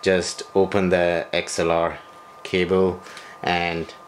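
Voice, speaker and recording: A man presenting a hands-on equipment tutorial, speaking off the cuff in halting, broken phrases with frequent hesitations. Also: low-pitched, flat delivery. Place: small bedroom, indoors. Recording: home video with noticeable background noise.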